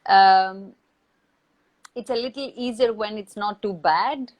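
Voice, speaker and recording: A woman who is not a native English speaker, giving reflective advice in a thoughtful, measured way with deliberate pauses. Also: medium pitch. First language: not English or Hindi. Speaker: acting teacher, interview guest. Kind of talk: reflective advice in a live online Q&A.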